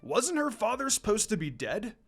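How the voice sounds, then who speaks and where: A man voicing a hot-headed young male wolf demon who shouts taunts. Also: high-pitched, big pitch swings, moderate pace, faint music behind, voice pushed up, heavily acted. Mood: casual.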